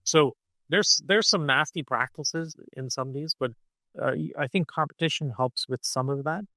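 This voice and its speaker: A man being interviewed on a podcast, explaining ideas in an animated, conversational way and often checking in with "okay?" or "right?". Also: a little high, normal speed, no echo. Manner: punctuates points with "okay?" and "right?". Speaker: podcast guest.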